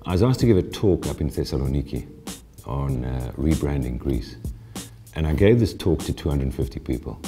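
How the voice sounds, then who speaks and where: A man answering interview questions, telling his story in an animated way with frequent restarts. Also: a bass voice, normal speed, faint music behind. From South Africa. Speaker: interviewee, honoree.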